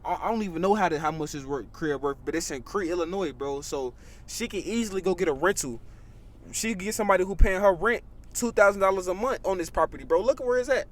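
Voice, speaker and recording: Brief: young man, 18, high-pitched, animated, casual podcast guest. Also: fast talker. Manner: animated, repeats "you know what I mean" and "bro". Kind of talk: casual chat.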